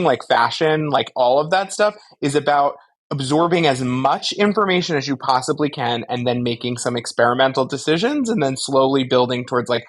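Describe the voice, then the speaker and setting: A man's voice: mid-range voice, fairly bright tone. A man co-hosting a casual pop-culture discussion, talking in a slangy, analytical and jokey way. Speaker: podcast co-host.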